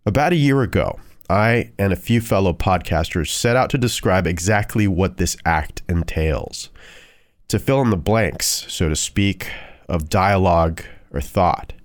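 A man's voice: sits low, lively intonation, unhurried, no echo, studio quality. A man narrating a scripted true-crime horror podcast as its host. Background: music.

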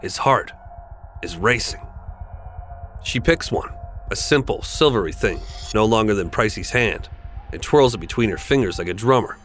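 A man narrating a dark scripted horror story in a measured, deliberate, dramatic way over music. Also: lowish voice, expressive. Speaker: podcast narrator. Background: background music.

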